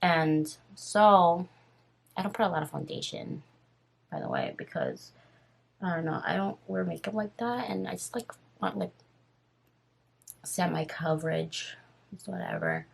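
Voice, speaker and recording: A woman in her twenties with a raspy voice, casually telling a story on her vlog while doing her makeup, rambling and full of fillers. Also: fairly low pitch, very animated, a little slow, long pauses. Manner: rambling, filler-heavy and nervous. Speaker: vlogger.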